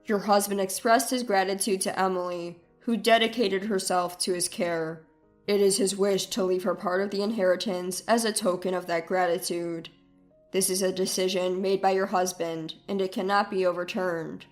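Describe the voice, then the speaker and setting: Male family lawyer voiced by a woman, pitched down, measured, formal, storybook drama. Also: fairly even intonation, faint music behind, natural voice. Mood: firm. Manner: measured, official.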